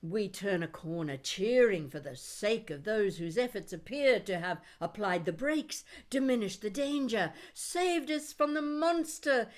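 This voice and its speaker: A woman reading her own poetry aloud in an expressive spoken-word style, with deliberate, dramatic phrasing and wry humour. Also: mid-range voice. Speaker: poet reading own work.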